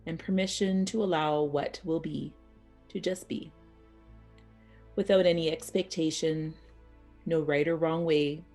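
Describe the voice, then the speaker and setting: A woman teaching yoga nidra as a guided meditation, speaking soothingly and unhurriedly in short phrases with pauses. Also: fairly low pitch, darkish tone.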